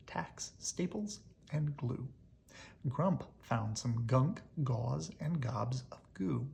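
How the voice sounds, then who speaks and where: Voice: medium pitch, creaky, darkish tone, a little hiss or hum. A storybook reader narrating expressively aloud for children, as if at bedtime.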